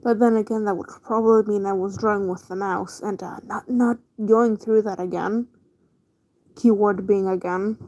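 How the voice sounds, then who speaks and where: A woman's voice: mid-range voice, fairly even intonation, normal speed, dark tone, poor audio. A woman chatting casually on a livestream as she works, in a laid-back way with trailing half-thoughts. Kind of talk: casual livestream chat while drawing.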